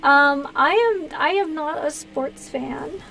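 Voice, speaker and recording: A woman's voice: high-pitched, slow pace, bright, forceful tone, a little hiss or hum, poor audio. A woman with an American accent, a streamer from the US East Coast, chatting casually with viewers in a hesitant, rambling way, thinking aloud. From US East Coast, Pennsylvania. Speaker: video game streamer and host. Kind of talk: casual livestream chat with viewers.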